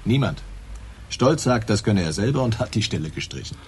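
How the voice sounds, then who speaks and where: An actor playing an eager, matter-of-fact police assistant, colloquial and chatty, in a naturalistic German radio crime drama. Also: male voice, fairly low pitch, very animated, a little fast, darkish tone, slight background noise.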